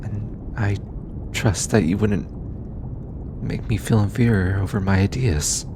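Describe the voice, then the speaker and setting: A man playing a gentle, studious alien scientist in an intimate audio roleplay, soft-spoken and patient, hesitant when flustered. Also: fairly low pitch, unhurried, noisy background, lightly acted. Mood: flustered, shy. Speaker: voice actor. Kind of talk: intimate scripted audio roleplay, addressing the listener.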